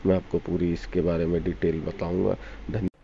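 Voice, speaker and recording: A man's voice: low voice, little pitch movement, moderate pace, gravelly. A man narrating an informal walkthrough of an installation. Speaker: audio installer. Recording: dull lo-fi sound, faint noise.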